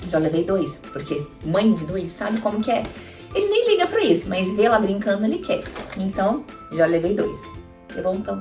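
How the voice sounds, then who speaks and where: A woman in her thirties with a Brazilian accent, a mother showing travel items in a chatty vlog and talking straight to viewers. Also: fairly low pitch. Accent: Brazilian Portuguese. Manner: chatty, addresses viewers directly with frequent tag questions. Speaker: mother vlogger. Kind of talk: casual show-and-tell tips. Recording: echoey room, mic at a distance, faint music.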